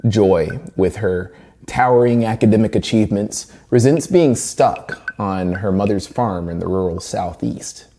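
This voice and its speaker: A man's voice: fairly low pitch, a little slow, warm tone, slight background noise. A man presenting a semi-scripted literary analysis in a conversational way, with wry asides. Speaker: presenter reviewing a short story.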